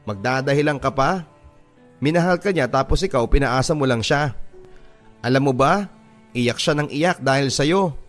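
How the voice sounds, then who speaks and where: A man's voice: medium pitch, normal speed, pauses often, lightly acted. A man voicing an angry, scornful teenage schoolgirl bully with a Filipino accent in a radio drama. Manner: accusing, scornful. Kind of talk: Tagalog radio drama acting. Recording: music behind the voice.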